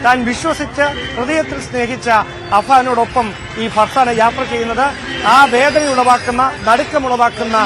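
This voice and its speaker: A man reporting live as a field news reporter, explaining at length in halting, repetitive run-on sentences. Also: very high voice, fairly even intonation, a crowd in the background.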